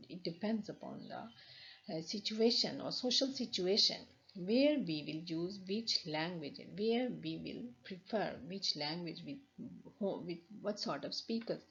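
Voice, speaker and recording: A woman's voice: on the low side, creaky. A woman with a Pakistani accent, a university lecturer explaining over slides, with many restarts and fillers. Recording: dull lo-fi recording.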